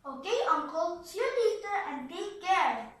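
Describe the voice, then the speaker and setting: A woman's voice: very high voice, very animated, measured pace, background noise, full character voice. A woman voicing earnest, polite young boy puppets with careful, recited delivery in a scripted puppet show. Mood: earnest, explaining. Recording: roomy echo, slightly distant mic.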